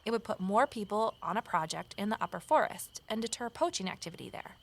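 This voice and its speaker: A woman's voice: medium pitch, animated, quick pace, creaky. An actress playing an earnest, self-doubting young woman sleuth in an audio drama, speaking in a wry, conversational way. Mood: thoughtful, friendly. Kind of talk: naturalistic audio drama acting and first-person narration.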